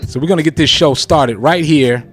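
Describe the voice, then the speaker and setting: A man's voice: fairly high pitch, normal speed, a bit bright, faint music behind. A man hosting a casual live radio show, with showy introductions, on a close studio mic. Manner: showy introductions with repeated catchphrases.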